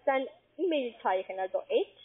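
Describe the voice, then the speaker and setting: A woman from Spain with a Spanish accent, working as a call-centre agent and speaking broken German, who spells out letters slowly and patiently. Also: on the high side, animated, breathy edge. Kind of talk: phone sales call. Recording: tinny phone line.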